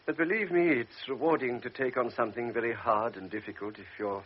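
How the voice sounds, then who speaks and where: An actor playing a calm, authoritative older doctor in a radio drama, speaking in a measured, persuasive way. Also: male voice, mid-range voice, slightly rough, lightly acted. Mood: grave. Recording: muffled old radio recording.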